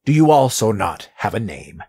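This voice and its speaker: A stern, courteous middle-aged mortician of an audio drama, acted by a man. His voice is low and heavily rasped. He phrases things formally and guardedly, with a lively rise and fall. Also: moderate pace. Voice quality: husky, rough.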